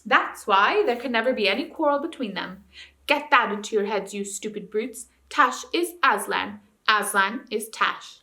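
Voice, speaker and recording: A woman's voice: fairly high pitch, normal speed, sharp tone. A woman voicing a scheming, bullying old male ape who sneers and snarls at the other animals.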